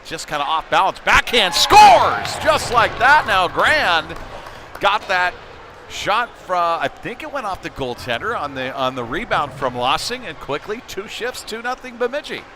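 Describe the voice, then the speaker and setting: A man doing live, conversational play-by-play commentary of youth hockey, excitable on big plays. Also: high voice, a little slow. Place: indoor ice rink, live broadcast. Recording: clear background noise of sports arena.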